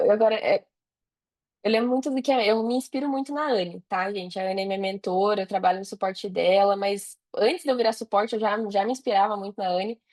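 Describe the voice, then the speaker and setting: A woman's voice: medium pitch, a little mellow. A Brazilian woman chatting casually as an interview guest, informally thinking her answers through aloud. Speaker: podcast guest, digital marketer.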